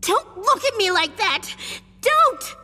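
A woman's voice: very high-pitched, very animated, takes it slowly, pushed and bright, heavily acted. An actress playing a bloodthirsty young samurai schoolgirl assassin, intense and profane, in a video game. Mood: furious. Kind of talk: dramatic video game voice acting. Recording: long reverb, music behind.

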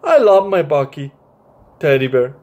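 Casting: man reads as earnest small boy from a storybook, childlike, high pitch, very lively intonation. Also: unhurried, muffled recording. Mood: fond. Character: earnest little boy who loves his teddy bear.

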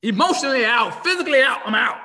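High bright-voiced pastor preaching over video call. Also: male voice. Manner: wide swings from loud shouting to hushed near-whisper, repetitive cadence. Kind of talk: impassioned sermon. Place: home room with window blinds. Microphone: video call webcam. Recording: video call audio with long reverb.

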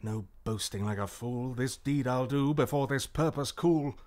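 A man's voice: mid-range voice, normal speed, a little mellow, natural voice. An actor playing a haunted, ruthless warrior king in a declamatory Shakespearean radio drama. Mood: grim, ruthless. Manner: declamatory, volatile.

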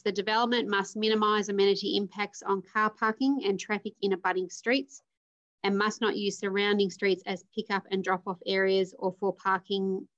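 Australian lady councillor on a zoom call, steady careful delivery, bit dull and lo-fi. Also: medium pitch. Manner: measured, reads motion wording carefully. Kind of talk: formal council meeting speech. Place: home or office room on a video call. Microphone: video call. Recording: dull lo-fi video call audio.

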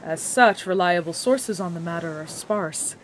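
An actress playing an earnest young scholar, speaking in formal, precise, methodical phrases for a fantasy audio drama. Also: female voice, lowish voice, a little slow, a little hiss or hum, lightly acted, low-quality recording. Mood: earnest, wistful. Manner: formal, precise, bookish wording.

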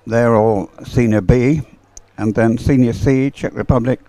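A man commentating live on a race as a sports commentator, unhurried and halting, in short phrases. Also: mid-range voice, steady tone, warm tone, dry recording, faint music behind.

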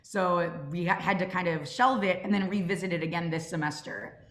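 A woman's voice: on the low side, fairly quick. A young adult woman informally hosting a question session as host and writer over a video call. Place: indoors on a group video call. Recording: roomy echo on video call.

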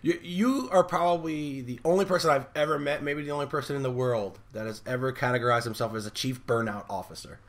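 A man in his forties from New York, hosting a podcast over a video call through a studio microphone, enthusiastic and quick to laugh. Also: medium pitch. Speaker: podcast host. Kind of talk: casual video-call interview.

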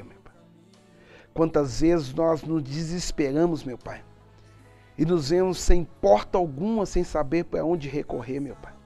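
A man in his thirties with a Brazilian accent, preaching as a pastor in an earnest, emphatic devotional style with deliberate pauses. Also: fairly high pitch, warm tone. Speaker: pastor, programme host. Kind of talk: televised devotional sermon and prayer. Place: TV studio, indoors. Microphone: lapel mic. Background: faint music.

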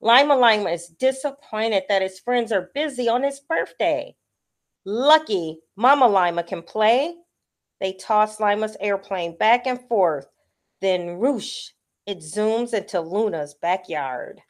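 A woman reading a children's picture book aloud as a storyteller, with expressive delivery. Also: mid-range voice, slow pace.

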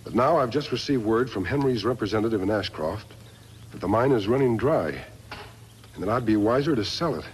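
A noble masked cowboy hero played by a male actor with a fairly low, measured voice. He speaks earnestly and with authority, as in 1950s radio westerns, over faint recording noise. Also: animated, natural voice. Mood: reading aloud.

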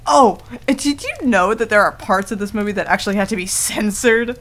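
A woman's voice: mid-range voice, fairly quick, a bit bright, close to an everyday voice. A woman playing a prickly, easily flustered tsundere werewolf in a casual ASMR roleplay, with a defensive, stammering manner. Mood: enthusiastic. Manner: casual, defensive, stammering when embarrassed, swears. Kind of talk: intimate ASMR roleplay acting. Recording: clearly audible background noise.

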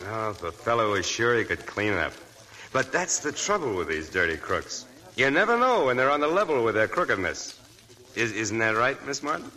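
Mocking reporter, 1940s radio play, bright tone, roomy hall echo. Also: male voice, mid-range voice, very animated. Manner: taunting, drawn-out sarcasm. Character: sneering male newspaper reporter, mocking and sly.

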